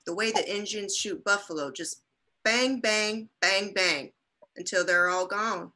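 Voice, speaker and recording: A woman playing a hostile, self-righteous frontier mother in a radio western, sharp, indignant and folksy. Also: medium pitch, a little slow. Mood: boastful. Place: indoors at home, video call.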